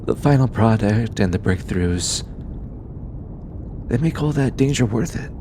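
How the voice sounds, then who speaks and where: A man voicing a gentle, teasing alien scientist in an intimate audio roleplay, eager and given to chuckling. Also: fairly low pitch, expressive, unhurried, background noise. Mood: focused, explaining. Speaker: voice actor.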